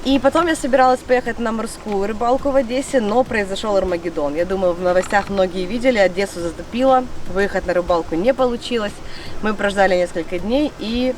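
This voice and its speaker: Female outdoor vlog host, mid pitch, nasal from a cold, enthusiastic and casual, clear background noise. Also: fairly quick, far from the mic, rough recording. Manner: chatty, enthusiastic. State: congested from a cold. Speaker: fishing channel host. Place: outdoors by a fishing lake.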